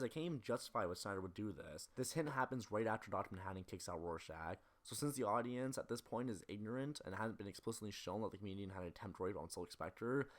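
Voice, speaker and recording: A man narrating a scripted analytical video essay as the presenter. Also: fairly low pitch.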